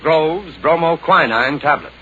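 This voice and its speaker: A man announcing a 1940s radio commercial with deliberate, emphatic sales delivery on an old radio recording. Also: a little high, lo-fi sound, over loud music. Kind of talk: 1940s radio advertising read.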